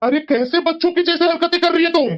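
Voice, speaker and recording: A woman's voice: very high voice, fast. A woman voicing a sensible middle-aged husband in a family moral-story cartoon, scolding and lecturing reproachfully.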